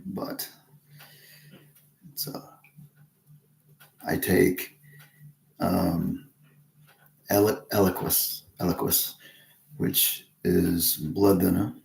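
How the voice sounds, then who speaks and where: A man from Texas talking casually to camera in a halting way, with many restarts and pauses. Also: low-pitched, steady tone, gravelly, a little mellow. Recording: roomy echo.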